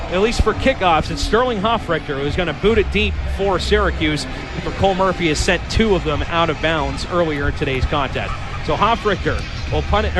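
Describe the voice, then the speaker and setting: Casting: American male radio play-by-play announcer, high-pitched voice, calling live action. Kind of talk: live sports commentary.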